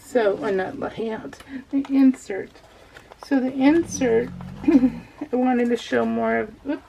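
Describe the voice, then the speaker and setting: Bright-voiced, higher-pitched woman chatting casually. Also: gravelly. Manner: rambling, gushing, self-interrupting. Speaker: craft video host. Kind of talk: casual chatty craft vlog, unscripted. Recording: dull lo-fi home recording, faint noise.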